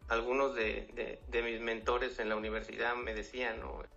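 A man's voice: mid-range voice, flat delivery, normal speed, vocal fry, sharp tone. A man, a finance professional giving earnest advice as an interview guest, often repeating words. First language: Spanish. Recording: dull lo-fi audio, faint music.

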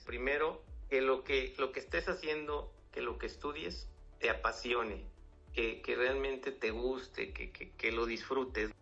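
Earnest male guest in his fifties, forceful tone, gravelly edge. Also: mid-range voice. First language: Spanish. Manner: earnest, repeats words, tag questions. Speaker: guest, finance professional. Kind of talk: interview answers, advice. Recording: dull lo-fi audio, faint music.

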